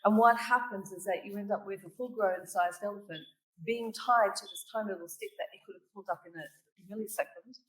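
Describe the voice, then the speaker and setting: A woman with a hybrid Australian-British accent gives a conference presentation in a middle-pitched voice. She talks in a storytelling way and keeps asking the room "right?" to check they follow. She is a little distant from the mic, and the hall sounds echoey. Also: brisk. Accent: Australian mixed with British. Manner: storytelling, tags points with "right?" and "okay?". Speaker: conference speaker. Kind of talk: keynote presentation.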